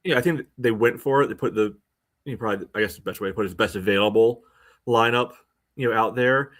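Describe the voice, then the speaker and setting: A man co-hosting a sports podcast, analysing in a casual, halting way full of verbal tics. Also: fairly low pitch, fairly bright tone. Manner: halting, peppers speech with "you know".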